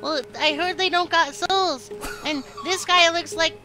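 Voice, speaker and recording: A woman's voice: very high voice, fairly even intonation, unhurried, sharp tone, soft background music. A woman talking casually as a player in a game's voice chat. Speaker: fellow player.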